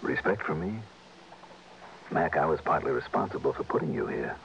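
An actor playing a hard-boiled, decent American insurance investigator who also narrates, in 1960s radio drama style. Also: male voice, rumbling low, animated, moderate pace, creaky, slight background noise, heavily acted. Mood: steady, sympathetic. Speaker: lead actor and first-person narrator. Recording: dull vintage radio recording, music behind.

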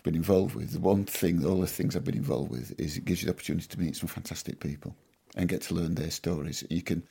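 Low husky older man, measured. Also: steady tone, warm tone. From Yorkshire. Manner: measured, modest storytelling with dry humour. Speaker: guest farmer. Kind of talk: reflective podcast interview. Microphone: podcast microphone.

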